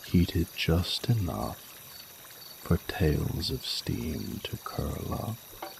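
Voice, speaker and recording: Ancient male dragon lover, played by a man: rumbling bottom-register voice, gravelly, regal and flirtatious, formal archaic delivery over trickling water. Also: background noise, exaggerated voice. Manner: formal archaic phrasing, slow deliberate pauses, seductive. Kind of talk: romantic audio roleplay, intimate monologue to the listener.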